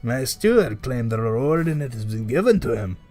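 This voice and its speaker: A man's voice: medium pitch, very animated, normal speed, darkish tone, soft background music, close to an everyday voice. A man voicing a stately king in a storybook, firm, formal and declarative. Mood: matter-of-fact. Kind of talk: storybook reading.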